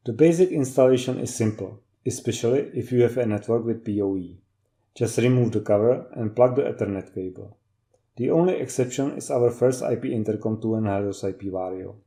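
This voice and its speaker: Man with a very dark, mellow voice. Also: mid-range voice. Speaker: technical trainer. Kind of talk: scripted instructional narration.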